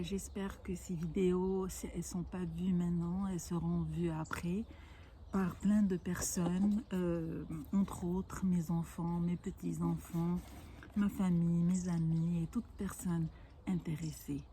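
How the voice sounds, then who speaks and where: Older woman, native Arabic speaker, unhurried patient teacher with a warm, dark tone. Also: lowish voice, little pitch movement, a little hiss or hum. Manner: patient, explains each word slowly with small restarts. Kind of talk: home-made language lesson.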